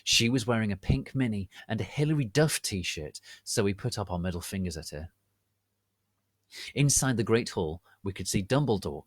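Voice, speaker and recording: A man narrating fan fiction as a theatrical, deliberate dramatic reading. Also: fairly low pitch, lively intonation, no room sound.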